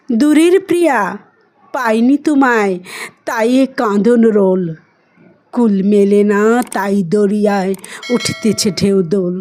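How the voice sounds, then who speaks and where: Woman doing a poetry recitation, kinda high voice, really slow and emotive. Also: a little mellow.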